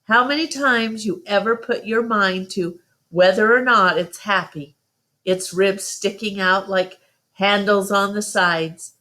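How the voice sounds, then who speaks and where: A woman reading the part of a stern, principled country father in a storybook, sharp and admonishing in folksy phrases. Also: mid-range voice, slow. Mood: sharp, scolding.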